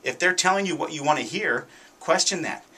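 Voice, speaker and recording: A man's voice: a little high, animated, brisk, pushed and bright, slightly rough. A man hosting a talk radio show, giving commentary in an emphatic, conversational way and often repeating his points. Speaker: radio show host, realtor.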